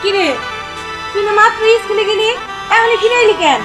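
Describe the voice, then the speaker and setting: A woman's voice: very high-pitched, brisk, music in the background, poor audio. A woman with a Bangladeshi accent playing an aggrieved, protective village mother, scolding shrilly in a melodramatic short film. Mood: indignant.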